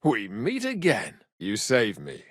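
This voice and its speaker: An actor playing a cheeky, good-humored medieval rogue and loyal younger brother in a video game, with colloquial, irreverent banter. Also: male voice, lowish voice, huge pitch swings, slow pace, no echo, studio quality, heavily acted. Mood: excited, friendly. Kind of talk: video game dialogue acting.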